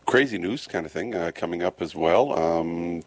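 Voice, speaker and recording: A man's voice: low-pitched, normal speed. A man hosting a morning radio show, chatting loosely with jokes and rambling asides. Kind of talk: casual morning show banter. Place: radio studio.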